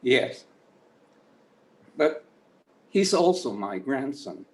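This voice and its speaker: A man playing a humble, ashamed old grandfather in a screenplay read, hesitant and gently pleading. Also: medium pitch, sing-song, drawn-out words.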